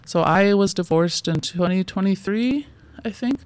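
A woman's voice: mid-range voice, unhurried, darkish tone, no echo. A woman in her forties, a guest in a casual interview, talking in loose, rambling sentences full of fillers and restarts and often laughing between thoughts.

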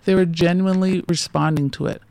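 A woman in her forties chats as an interview guest, her gravelly voice recorded dry and close. Her sentences wander and restart, full of fillers. She laughs between thoughts. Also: on the low side, fairly even intonation, fairly quick, a little mellow, no room sound. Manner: rambling, loose sentences with many fillers and restarts. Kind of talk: casual interview conversation.

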